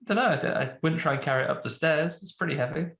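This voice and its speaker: Guy with a cold, kinda snuffly, gravelly voice, roomy echo, rambles and restarts a lot. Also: on the high side, quick pace, fairly bright tone. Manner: rambling, self-interrupting. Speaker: podcast co-host. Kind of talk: casual chat.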